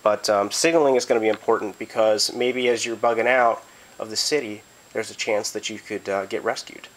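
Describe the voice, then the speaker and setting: A man with a slightly low voice who is getting over a cold. He talks in a loose, easygoing way, filling pauses with ums and uhs as he explains his kit. He is heard through a handheld camera's mic in a carpeted room at home. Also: steady tone, rough recording. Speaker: prepper vlogger. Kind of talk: casual gear walkthrough.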